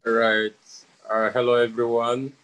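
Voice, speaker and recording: A man in his thirties from Nigeria, a teacher introducing himself on a video call in a steady, matter-of-fact way. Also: mid-range voice, unhurried, poor audio. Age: 30s.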